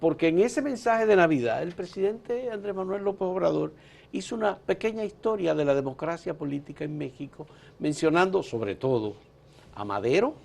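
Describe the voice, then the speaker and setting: A man's voice: fairly high pitch, expressive, moderate pace, creaky, darkish tone. A man hosting a political interview, posing long, leading questions and framing remarks.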